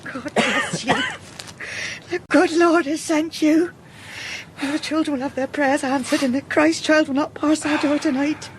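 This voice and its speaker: A gentle, devout cottage mother. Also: high-pitched, fairly even intonation, measured pace, a little hiss or hum. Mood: blessing, fond. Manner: gentle, pious, homely. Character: kind, devout peasant mother in a cottage, woman, simple and warm-hearted. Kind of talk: radio drama acting.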